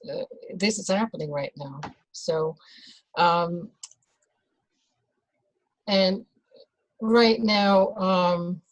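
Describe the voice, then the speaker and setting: A woman from the Netherlands, a doula, talking as an interview guest in a slow, hesitant way with many fillers and restarts. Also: lowish voice, no echo, poor audio. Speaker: guest, birth and rebirth doula. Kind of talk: casual conversational interview.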